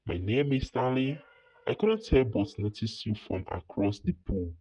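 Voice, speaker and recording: Storybook role: male actor as a slick young suitor faking wealth, mellow and husky, slightly dull audio. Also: mid-range voice, big pitch swings, unhurried. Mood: smooth, charming. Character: smooth-talking young male pretender posing as rich. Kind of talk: storybook dialogue.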